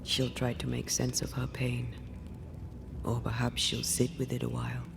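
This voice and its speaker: An actress playing a ghost woman detached from life yet devoted to her lover, speaking in a measured, hushed, solemn way in video game dialogue. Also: very deep voice, monotone, gravelly, heavy background noise, exaggerated voice. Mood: gentle, concerned. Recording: music under the voice.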